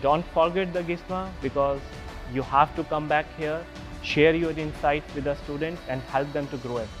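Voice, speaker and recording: A man's voice: fairly high pitch, steady tone, normal speed, music in the background. A male faculty member giving earnest, encouraging congratulations to graduates in a recorded message.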